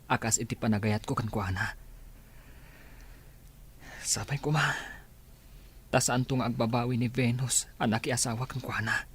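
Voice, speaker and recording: A man's voice: medium pitch, fairly even intonation, normal speed, pauses often, a bit bright, slightly rough. A man acting a lovelorn rejected suitor in a Filipino radio drama, earnest and dramatic, with a Filipino accent. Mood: heartbroken, pining. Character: lovelorn rejected suitor still pining for a married woman.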